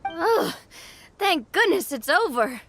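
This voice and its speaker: A woman voicing a composed, kindly young female adventurer in a video game, measured and reassuring. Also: extremely high pitch, sing-song, fairly bright tone, exaggerated voice. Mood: relieved.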